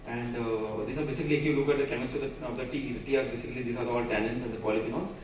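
A man's voice: mid-range voice, fairly even intonation, very fast, slight background noise. A man with an Indian English accent giving a conference presentation at a podium, talking loosely with frequent restarts and fillers. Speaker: conference speaker. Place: large conference hall. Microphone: podium microphone, recorded from far camera. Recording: echoey hall from far off.

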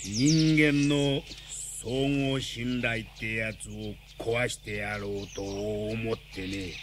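An actor playing a teasing male talking lion in a radio drama, with a gravelly, drawling, mocking delivery. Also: lowish voice, fairly even intonation, slow. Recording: noisy animal ambience behind.

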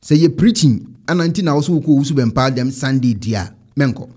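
Husky Ghanaian male reaction host. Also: medium pitch, expressive, brisk, mellow. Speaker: online reaction show host. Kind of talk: casual reaction commentary. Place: indoor studio setup. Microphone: close desk microphone.

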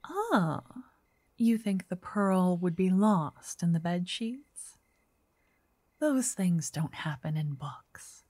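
A woman playing a wealthy, romantic vampire writer in an intimate ASMR roleplay, speaking softly and breathily, often whispering, with an elegant, flirtatious manner. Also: on the low side, big pitch swings, takes it slowly, slightly rough, no echo, exaggerated voice. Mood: musing. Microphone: close microphone in a dry, quiet space.

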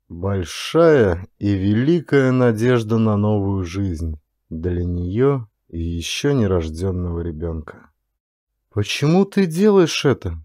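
Male narrator for dramatic fiction audiobook, warm dark tone, mid pitch, dry studio-close sound. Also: no room sound.

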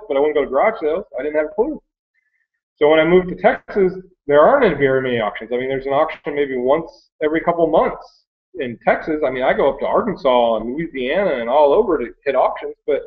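A man in his thirties with an American accent, telling his story in a rambling, casual, conversational way. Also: a little high. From Michigan, United States. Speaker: online reseller sharing his story. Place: small cluttered workroom indoors. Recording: thin phone-like webcam audio.

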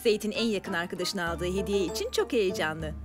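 A woman's voice: mid-range voice, a little fast, lightly acted. A woman voicing a caring but firm mother in a children's cartoon, coaxing and motherly. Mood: caring, encouraging. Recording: loud music behind the voice. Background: music.

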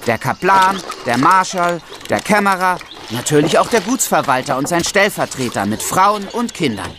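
Animated young squire. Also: male voice, high-pitched, normal speed, background noise. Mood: explaining. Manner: explanatory, enumerating. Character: eager, proud young medieval squire guiding visiting children. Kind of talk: children's audio drama acting.

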